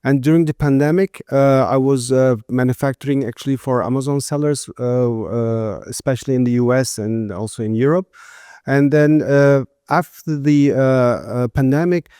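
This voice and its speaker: Halting German-born man, smooth voice. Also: mid-range voice, dark tone, no room sound, clean studio sound. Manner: halting, many uh fillers. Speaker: guest, textile manufacturer. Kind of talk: casual interview answers.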